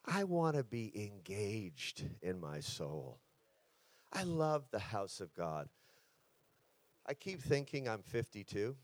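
A man's voice: fairly low pitch, big pitch swings, takes it slowly, no echo. A man in his fifties preaching a sermon as a guest pastor, then praying aloud with rising fervor, declaring each line. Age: 50s. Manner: builds to loud fervent declarations in prayer. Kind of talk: sermon and prayer.

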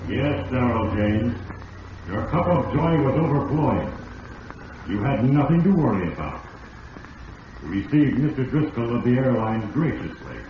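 An actor playing a solemn, accusing, all-knowing narrator in a 1940s style radio drama, portentous and deliberate. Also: male voice, medium pitch, lively intonation, distant mic, background noise. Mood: sardonic. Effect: heavy echo. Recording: muffled, echoey old radio recording.

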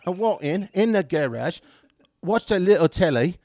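A man's voice: high voice, lively intonation, normal speed, a little mellow, no room sound, clean studio sound, voice pushed up. A Texan man doing a hammy fake British bloke. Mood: playful. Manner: playful, laughing, rambling. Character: tipsy man faking a British accent.

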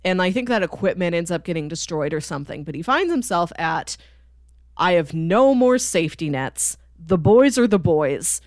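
A woman's voice: fairly low pitch, animated, normal speed, dry recording, studio quality. A woman co-hosting a podcast, talking casually through a TV show and acting out imagined lines as she explains. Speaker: podcast co-host. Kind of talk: casual conversational TV show discussion.

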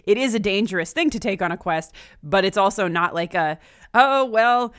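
A woman's voice: mid-range voice, expressive, normal speed, fairly bright tone, clear, resonant tone. A woman co-hosting a casual book discussion, talking conversationally and acting out imagined quotes to make her points. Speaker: podcast co-host discussing a graphic novel.

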